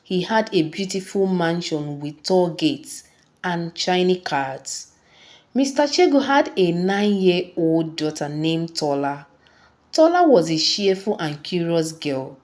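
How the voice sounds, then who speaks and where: A woman narrating a scripted folktale in a deliberate, dramatic storytelling manner. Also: on the low side, expressive, darkish tone.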